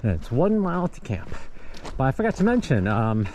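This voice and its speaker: A man's voice: medium pitch, big pitch swings, brisk, a little mellow, slight background noise. Fifty-year-old man rambling on a trail. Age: 50s. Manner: chatty, rambling, laughs often. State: tired from hiking. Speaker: thru-hiker vlogger. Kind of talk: casual trail vlog narration. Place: outdoors on a forest trail.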